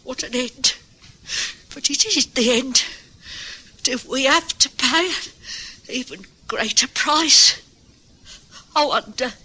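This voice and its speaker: Radio drama role: frail elderly wife, plaintive and halting, very bright but rough-edged tone. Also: female voice, high voice, full character voice, poor audio. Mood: despairing. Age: older. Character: frail, weary, long-suffering ailing elderly wife. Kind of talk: old-time radio drama acting.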